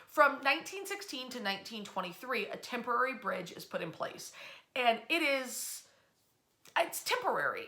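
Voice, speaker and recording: A woman presenting local history as an animated storyteller, in a conversational, emphatic and folksy way. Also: mid-range voice.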